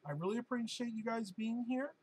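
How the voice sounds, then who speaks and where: A man with a hoarse, fading voice hosts a casual live-stream Q&A, answering viewer questions in a chatty, rambling way with plenty of ums and uhs. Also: extremely high pitch, brisk, a little mellow, slight background noise, rough recording. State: hoarse, losing his voice.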